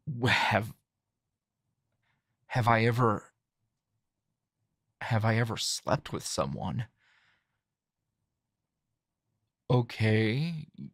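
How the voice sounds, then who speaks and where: A man playing a calm, dry, teasing hero and coworker in an intimate ASMR roleplay, talking in a low-key, halting, conversational way. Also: no room sound, full character voice. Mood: awkward, casual.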